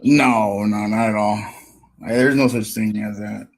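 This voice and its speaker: A man hosting a live stream, trolling a caller with crude, sarcastic, deadpan mockery. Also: on the low side, measured pace. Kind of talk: trolling live call chat.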